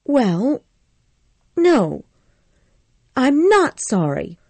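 A woman's voice: high voice, big pitch swings, very slow, darkish tone, slightly rough, no room sound, pitched above the performer's own voice, heavily acted. A woman reading a story aloud, voicing a headstrong young Southern belle who frets and pleads.

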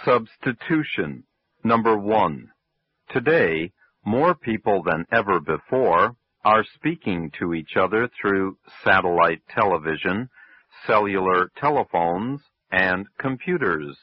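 A man reads an English lesson in a very low, unhurried voice, enunciating every phrase for learners. The sound is dry and close, with the muffled, lo-fi quality of an old radio broadcast. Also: expressive, dry recording. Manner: slow, careful, clearly enunciated. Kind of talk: scripted textbook reading.

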